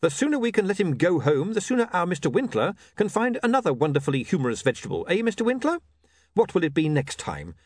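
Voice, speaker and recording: A man's voice: high voice, sing-song, quick pace, dry recording, clean studio sound, voice pushed up. A man voicing a flustered, exasperated young male newspaper editor with a sarcastic edge, in a storybook reading.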